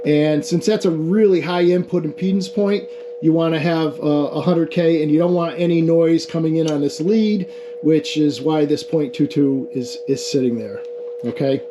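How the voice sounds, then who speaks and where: A man's voice: on the high side, moderate pace. A man giving an informal, hands-on technical demonstration as an amateur experimenter, explaining as he goes and checking in with the viewer. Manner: explanatory, checks in with "okay" and "right". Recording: clear steady background noise.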